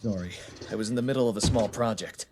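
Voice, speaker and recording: A man's voice: fairly low pitch, fast talker, noisy background, close to an everyday voice. A man playing an easygoing, friendly ex-military team member in an audio drama, with an informal way of talking. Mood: friendly, relaxed. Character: easygoing male ex-military brawn who makes pottery.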